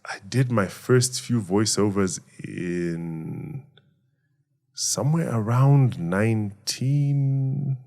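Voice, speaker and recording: Man, very low voice, middle-aged, from Zambia, measured and reflective, interview guest. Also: gravelly, mellow. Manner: measured, reflective, speaks of faith and gratitude. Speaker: voice actor and emcee, guest. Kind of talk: conversational interview answers.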